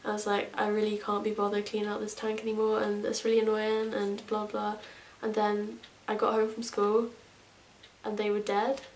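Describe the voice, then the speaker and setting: A woman's voice: medium pitch, monotone, moderate pace, a little hiss or hum. A woman in her twenties from England, chatting casually to camera as a vlogger, rambling with lots of fillers. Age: 20s. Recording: echoey room, mic at a distance.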